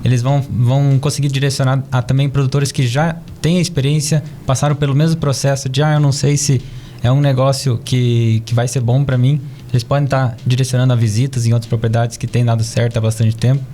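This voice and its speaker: A man from Israel living in Brazil, speaking as a studio podcast guest in a measured, earnest way. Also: medium pitch, little pitch movement, mellow, slight background noise. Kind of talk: casual interview chat.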